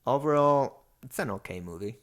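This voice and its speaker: A man's voice: medium pitch, animated, normal speed, a bit bright. A man with a Mexican accent co-hosting a podcast in casual chat, self-deprecating and often searching for words. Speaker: podcast co-host.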